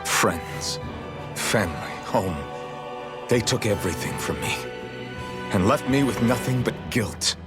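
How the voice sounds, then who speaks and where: A man's voice: on the low side, animated, a little slow, pauses often. A man voicing a troubled but determined young hero in a game, speaking in an earnest, brooding manner. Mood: solemn, resolute. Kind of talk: dubbed anime-style game voice acting. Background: prominent background music.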